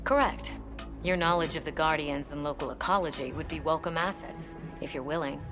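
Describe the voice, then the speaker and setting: A woman's voice: low-pitched, fairly quick, over music, natural voice. An actress playing a dutiful veteran female monster hunter leader in a video game, speaking with formal, resolute composure. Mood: businesslike. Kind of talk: video game cutscene voice acting.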